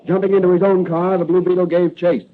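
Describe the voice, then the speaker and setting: An actor playing a suspense-building announcer in an old-time radio serial, giving dramatic, declamatory scripted narration. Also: male voice, high-pitched, flat delivery, quick pace, slight background noise, natural voice. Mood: suspenseful. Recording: tinny vintage broadcast with music behind. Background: music.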